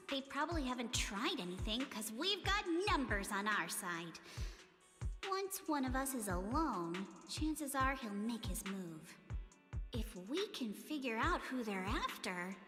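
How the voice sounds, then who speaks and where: An actress voicing a confident, sharp-witted young woman in a game, talking things through animatedly. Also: a little high, very animated, a little slow, long reverb tail, soft background music, heavily acted.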